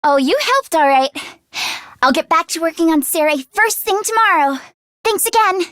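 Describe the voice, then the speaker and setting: A woman voicing an anxious, earnest teenage girl mechanic in a video game, with an expressive, hesitant delivery. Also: very high voice, normal speed, background noise. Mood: cheerful, encouraging. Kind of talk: English video game voice acting, isolated voice lines.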